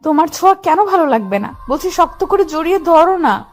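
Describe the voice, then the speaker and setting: A young wife played by a female voice actor with a distinctly high voice, bossy and possessive but affectionate. It is an audio romance drama with light music behind. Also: expressive, fairly quick. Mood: teasing, affectionate. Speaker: female lead of an audio love story.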